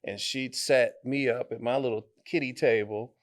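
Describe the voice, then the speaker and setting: A man from Detroit, a guest artist, answering interview questions in a thoughtful, halting way, with many fillers and restarts. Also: medium pitch, steady tone. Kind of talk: conversational interview.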